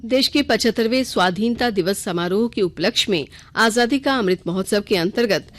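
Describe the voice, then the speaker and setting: A woman's voice: medium pitch, moderate pace. A woman news reader delivering a scripted radio bulletin in a formal news-reading style.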